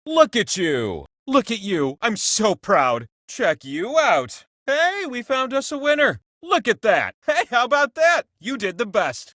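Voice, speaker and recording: Excitable, high-pitched godlike game show wheel. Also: male voice, dry recording, studio quality, heavily acted. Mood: doting, delighted. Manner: gushing, mock-grand, playful exclamations. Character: whimsical, grandiose godlike trivia wheel host, excitable and doting. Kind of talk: theatrical scripted game host lines.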